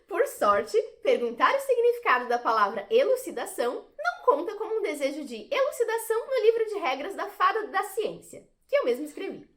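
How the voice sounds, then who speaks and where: A woman's voice: very high voice, very animated, normal speed, a bit bright, exaggerated voice. A woman playing a bubbly, teasing fairy of science in an audio drama, with a sing-song, theatrical delivery. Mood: grand, cheerful. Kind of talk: audio drama stage acting.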